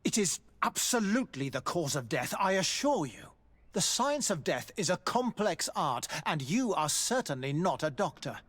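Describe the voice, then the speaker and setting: Nervous, formal army doctor, higher-pitched. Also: male voice, big pitch swings, moderate pace, fairly bright tone, heavily acted. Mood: formal, defensive. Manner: formal, hesitant, apologetic. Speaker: military doctor. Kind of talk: dramatic video game acting.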